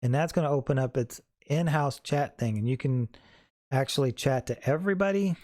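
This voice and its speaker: A man with a soft-edged, mellow voice at an average pitch hosts an informal how-to. He explains things in an easygoing, unscripted way. The sound is close and dry, with very little room in it. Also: a little fast, dry recording. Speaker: host of a how-to tutorial. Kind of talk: casual, unscripted software tutorial.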